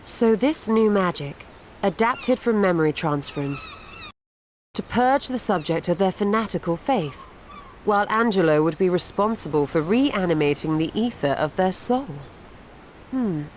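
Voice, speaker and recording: A woman's voice: mid-range voice, expressive, normal speed, slight background noise, close to an everyday voice. An actress voicing a composed, analytical woman mage in a video game, speaking dryly and precisely.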